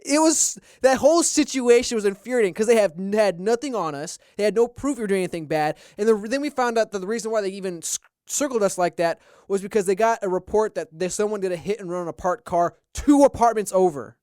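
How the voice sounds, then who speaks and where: High-voiced young man, smooth and rambling. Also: fast, hardly any pauses, darkish tone, no echo, studio quality. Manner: rambling, restarts, quotes himself. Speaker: podcast co-host. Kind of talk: casual storytelling chat.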